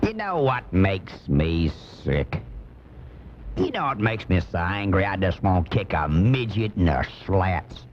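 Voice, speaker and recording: An angry working-class American conservative on a comedy radio show. He rants bluntly and indignantly, with lively swoops in his voice. The sound is a dull cassette copy of a broadcast. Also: deep voice, measured pace, slight background noise. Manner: indignant, blunt, folksy ranting. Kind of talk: comic radio commentary monologue.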